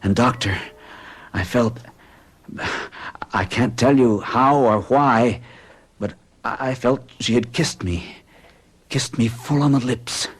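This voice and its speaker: A man's voice: mid-range voice, slow pace, pauses often, slightly rough. An actor playing a bewitched middle-aged bachelor in an old-time radio drama, speaking huskily with intense, hesitant confessional delivery. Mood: haunted, confessional. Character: forty-one-year-old orderly bachelor, bewitched and bewildered.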